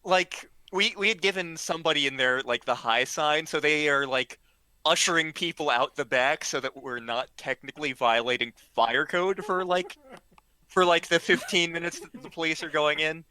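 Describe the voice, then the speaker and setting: A man casually telling a comic anecdote to friends in a halting, stammering way. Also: a little high, sharp tone, smooth, no echo. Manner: halting and stammering, acts out dialogue. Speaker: storyteller.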